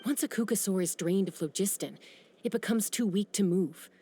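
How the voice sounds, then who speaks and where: A woman playing a practical young female adventurer who speaks in a calm, matter-of-fact way. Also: mid-range voice, normal speed, breathy edge, close to an everyday voice. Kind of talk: video game dialogue.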